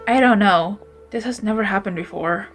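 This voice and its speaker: A woman's voice: medium pitch, normal speed, soft background music. A woman acting out scripted fantasy game dialogue as an amateur voice actor. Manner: acted, reading scripted lines.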